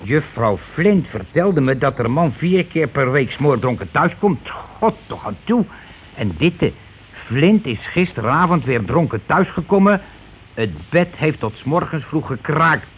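An actor playing a blunt, self-righteous working-class husband in a radio play, grumbling, bossy and rather pompous. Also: male voice, a little high, big pitch swings, a little slow, breathy edge, heavily acted. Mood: indignant. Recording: noisy old radio play recording.

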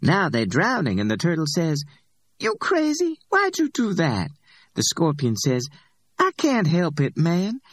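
A man's voice: on the high side, huge pitch swings, measured pace, no echo, clean studio sound, pitched above the performer's own voice, full character voice. A man voicing a tough, streetwise older woman who talks in a drawling, folksy way. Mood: storytelling.

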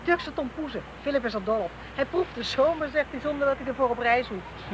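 An actress playing a brisk, sardonic police detective with curt, dry replies in a radio play. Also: female voice, high-pitched, lightly acted. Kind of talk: naturalistic radio play dialogue. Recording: noisy street and vehicle ambience.

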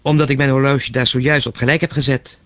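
A man's voice: medium pitch, little pitch movement, quick pace, a bit bright, no room sound, muffled recording, close to an everyday voice. A man playing a baffled, persistent telephone caller in a radio play, questioning with irritable, incredulous curiosity. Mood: curious, polite. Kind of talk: radio play acting, conversational.

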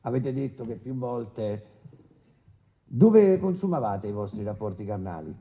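A man's voice: medium pitch, big pitch swings, measured pace, dull audio. An actor playing a brusque male presiding judge in a radio courtroom drama, formally and insistently questioning a defendant. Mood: insistent, sardonic. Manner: insistent, interrupting, official.

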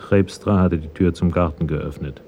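A man's voice: deep voice, fairly even intonation, normal speed, dark tone, muffled recording, slight background noise. A man narrating a scripted radio crime drama in a matter-of-fact manner.

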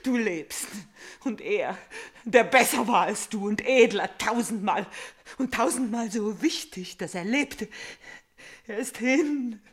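An actress playing a vengeful, tormented young princess in a classical radio tragedy, declamatory and intense, swinging between whispers and outcries. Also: female voice, a little high, slow pace, husky. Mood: anguished. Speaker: radio drama actor. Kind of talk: classical tragic radio play acting.

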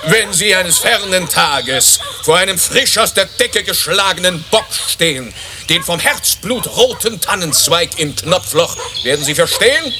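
Pompous, high-pitched, swooping hunting official. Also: male voice, background noise. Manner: declamatory, pompous. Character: pompous hunting official, solemn, grandiloquent about the huntsman's horn. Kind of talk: German radio play acting.

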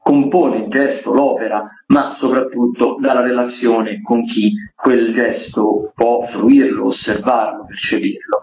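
A man's voice: mid-range voice, a little mellow. Man in an echoey room, discursive critic. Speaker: theatre critic and radio contributor. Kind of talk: spoken radio commentary on theatre news. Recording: roomy echo, slightly dull sound.

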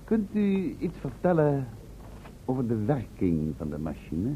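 An actor playing a polite, cautious man who asks questions in a measured, courteous way, in a radio play. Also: medium pitch, wildly swooping pitch. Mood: polite, curious. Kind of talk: Dutch radio play acting. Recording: tinny band-limited old radio recording, faint noise.

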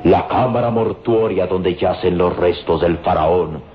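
A man's voice: low voice, slight background noise. An actor playing a solemn, devoted Egyptian man in an old radio serial, speaking reverently and declaiming in style. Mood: reverent, mysterious. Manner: slow, declamatory, reverent delivery. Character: solemn, devoted Egyptian man in love, loyal to his people. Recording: dull old radio recording with music. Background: prominent dramatic music.

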